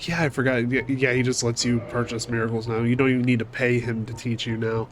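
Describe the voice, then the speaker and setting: A man's voice: medium pitch, little pitch movement, quick pace, a little hiss or hum. Man with a cold narrating gameplay. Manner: chatty, swears casually, talks to enemies on screen. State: fighting a cold. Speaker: gaming channel host. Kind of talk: casual let's-play commentary.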